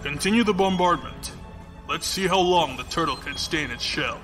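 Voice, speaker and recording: Guy acting as a space navy commodore, slightly high voice, cool n precise, commanding, music playing under him. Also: moderate pace, fairly bright tone, close to an everyday voice. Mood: confident, analytical. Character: shrewd, composed male military fleet commodore. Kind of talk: science fiction audio drama acting. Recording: music behind the voice.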